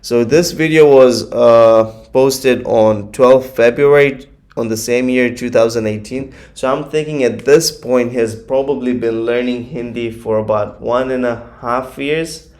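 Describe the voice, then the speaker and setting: A man's voice: mid-range voice, unhurried, a little mellow. A man whose first language is Gujarati, hosting a casual reaction video and chatting through his commentary with plenty of fillers. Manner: chatty, nitpicking, many fillers. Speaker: YouTube reaction host.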